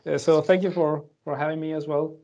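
Man, Spanish accent, gravelly, slightly high, relaxed podcast guest. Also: fairly quick, lo-fi sound. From Spain. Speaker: podcast guest, engineering manager. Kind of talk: casual introduction.

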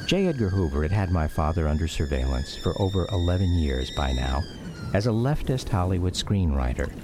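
A man's voice: sits low, big pitch swings, a little fast, noisy background. An actor with an American accent playing a grown son narrating memories of his childhood in a radio drama. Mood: reflective. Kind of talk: scripted radio drama narration, first-person memoir. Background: music under the voice.

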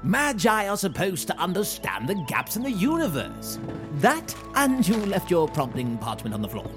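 An actor playing an eccentric, reckless wizard mentor who laughs often and speaks grandly. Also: male voice, high voice, huge pitch swings, moderate pace, over music. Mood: gleeful. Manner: theatrical, giggly, grandiose. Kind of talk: fantasy audio drama acting.

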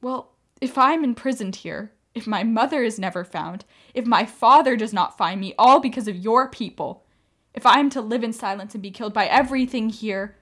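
A woman's voice: a little high, moderate pace, fairly bright tone. A woman acting in a radio play as an anguished, defiant young Armenian mother, pleading with feeling. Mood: indignant, defiant. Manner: impassioned, pleading.

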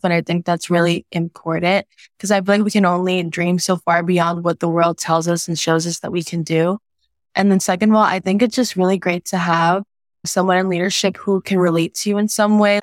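A young woman, a student intern, answering sincerely and at a measured pace. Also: fairly low pitch, no echo, studio quality.

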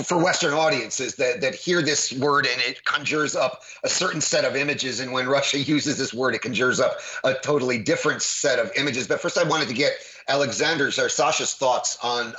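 A man's voice: mid-range voice, bright, forceful tone, low-quality recording. A man hosting a discussion, emphatic, often repeating words as he restarts sentences. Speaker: host. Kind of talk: conversational discussion.

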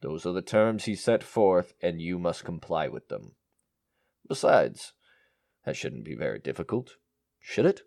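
An actor playing an unflappable male attorney in an audio drama, speaking in a measured, officious way. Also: deep voice, very animated, creaky, darkish tone, no echo, studio quality, exaggerated voice. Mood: firm, officious. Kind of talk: audio drama acting, reading a will.

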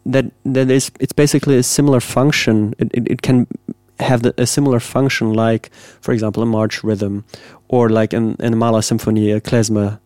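A low, mellow-toned man talks in a flat, even line as a composer being interviewed. He is thoughtful and measured, searching for his words. The sound is dry and close. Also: clean tone, no room sound. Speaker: composer guest. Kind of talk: reflective interview answers.

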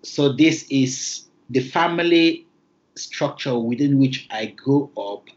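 Cameroonian-accented man, native Lamso speaker, measured formal interview answers, mid-pitched voice, slightly dull lo-fi recording. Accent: Cameroonian English. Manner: careful, formal phrasing with thoughtful pauses. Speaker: interview guest.

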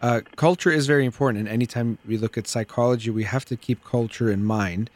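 A middle-aged man hosting a call-in psychology radio show, explaining things in a calm, measured way. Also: medium pitch. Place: radio studio.

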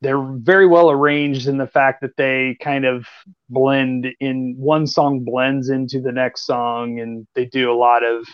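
A man's voice: medium pitch, little pitch movement, unhurried, smooth, no echo, muffled recording. A man co-hosting a casual music podcast, rambling and hesitant with many fillers. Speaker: podcast co-host.

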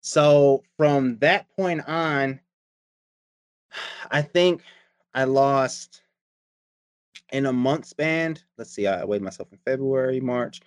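A man's voice: mid-range voice, takes it slowly, pauses often, dry recording, studio quality. A man chatting casually about his diet and workouts, rambling and profane, often repeating himself. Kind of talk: casual chat.

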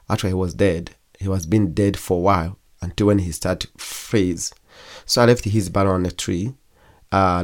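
A young man from Congo, whose first language is Kigogwe, telling his own story as an interview guest in halting speech full of pauses and restarts. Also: sits low, darkish tone. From Democratic Republic of Congo. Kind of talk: personal testimony in an interview.

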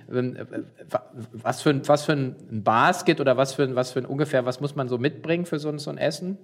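A man hosting a business podcast, talking in a relaxed way with many fillers and restarts. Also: medium pitch. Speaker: podcast host. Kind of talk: casual interview.

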